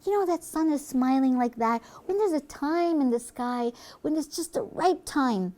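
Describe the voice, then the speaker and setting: Casting: female presenter for a children's faith puppet show, expressive intonation, explains things and gently scolds. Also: sits high, moderate pace. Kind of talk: conversational religious teaching for children.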